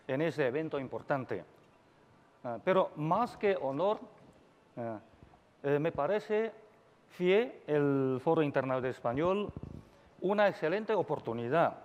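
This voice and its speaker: A middle-aged man, a native Chinese speaker, giving a formal conference talk in a lecture hall, deliberate and full of pauses and filler sounds. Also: fairly high pitch, a little mellow. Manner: slow and deliberate, with many filler sounds and restarts. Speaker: invited speaker at a language forum. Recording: dull echoey hall recording.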